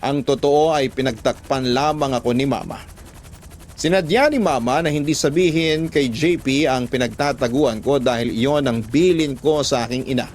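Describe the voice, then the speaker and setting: A man's voice: medium pitch, normal speed, darkish tone, a little hiss or hum. A man with a Filipino accent reading a listener's love letter as a scripted first-person radio narration.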